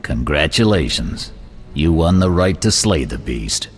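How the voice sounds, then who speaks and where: An actor playing a gruff, scornful jail captain in a video game, giving orders. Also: male voice, rumbling low, sing-song, a little slow, soft background music, heavily acted. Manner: commanding, scornful. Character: gruff, bossy adult male prison guard captain.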